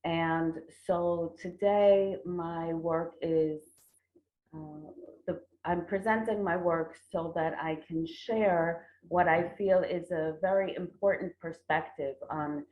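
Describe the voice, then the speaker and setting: A middle-aged American woman giving a formal academic conference presentation, phrasing carefully with pauses. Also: sits low, fairly even intonation. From United States. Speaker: conference presenter, traditional medicine researcher. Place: indoor room, remote online presentation. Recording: roomy, tinny phone-like online call audio.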